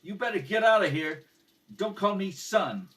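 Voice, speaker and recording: Man putting on an angry high-pitched young boy. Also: lively intonation, normal speed. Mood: angry, defiant. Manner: belligerent, pushy, shouted protests. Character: angry, defiant young boy who hates cops. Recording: homemade, distant mic with background noise.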